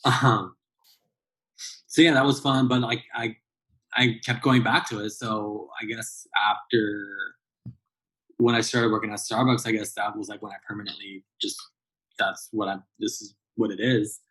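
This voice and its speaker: A man in his late thirties with a fairly low voice rambles through a casual interview. His talk is full of fillers and restarts as he works through his thoughts. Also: flat delivery, a little slow, fairly bright tone, breathy edge, low-quality recording. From Southern California. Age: 30s. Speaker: barista guest.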